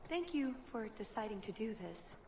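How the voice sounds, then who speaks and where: An actress playing an earnest, determined agent in a fantasy video game, serious and controlled. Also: female voice, mid-range voice, big pitch swings, moderate pace, darkish tone, slight background noise. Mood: earnest, urgent.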